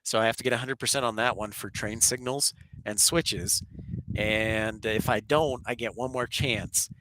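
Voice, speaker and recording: A man's voice: lowish voice, steady tone, normal speed, bright, forceful tone, slight background noise, rough recording. A man with a congested voice from a cold and sore throat, chatting casually and welcoming viewers as he hosts a live plane-spotting stream. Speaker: livestream host, aviation spotter. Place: live at an airport.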